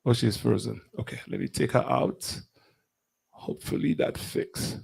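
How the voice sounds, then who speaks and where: A man casually hosting a live online talk show, speaking haltingly and often repeating words and phrases. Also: medium pitch, vocal fry, darkish tone, slightly rough. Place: remote online video or audio call.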